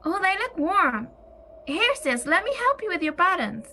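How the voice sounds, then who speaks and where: Lady acting as breezy coaxing sister, really high bright voice, faint noise behind. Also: sing-song, moderate pace, exaggerated voice. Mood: breezy, delighted. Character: breezy, enthusiastic woman placating her cross sister. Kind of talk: audio play acting.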